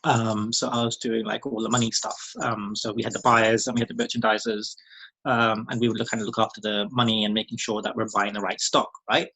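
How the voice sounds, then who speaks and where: A man's voice: lowish voice, level tone, fairly quick, bright, forceful tone, husky, poor audio. A British man in his thirties chatting as a podcast guest, rambling with lots of ums and restarts and laughing easily. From Britain. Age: 30s. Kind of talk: casual chat.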